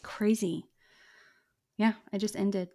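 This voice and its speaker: A woman hosting a podcast, telling a true ghost story in a chatty way, with many "like"s and "um"s. She has a fairly deep voice. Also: steady tone, takes it slowly, warm tone. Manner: chatty, lots of "like" and "um". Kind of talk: casual storytelling chat.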